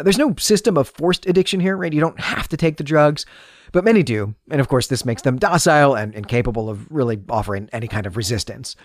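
A man's voice: medium pitch, dry recording, studio quality. A man hosting a podcast, delivering an analytical, explanatory monologue in the style of literary commentary. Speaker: podcast host.

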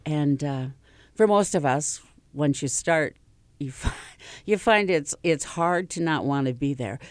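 A woman's voice: deep voice, expressive, unhurried, dry recording. Chatty middle-aged woman, radio guest. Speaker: community theater actor, radio guest. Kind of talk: casual radio interview.

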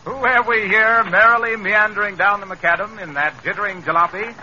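A dull vintage radio recording with faint music carries an American male announcer. His high voice is very bright and forceful, delivering scripted introductions with showmanship. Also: steady tone, a little fast. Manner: showy, alliterative delivery.

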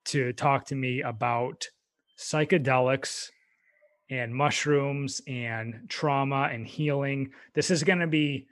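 A man's voice: medium pitch, slow pace, fairly bright tone. A man hosting a podcast, casual and friendly, with many fillers and restarts. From United States. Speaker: podcast host. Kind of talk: casual interview chat.

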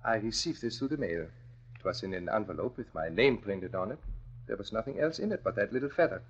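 A man's voice: on the low side, animated, fairly quick, a little hiss or hum. A man acting as an earnest, formal immigrant doctor, grave in manner, in a 1940s radio drama. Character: earnest immigrant doctor, survivor of Nazi prison camp. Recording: dull vintage radio recording.

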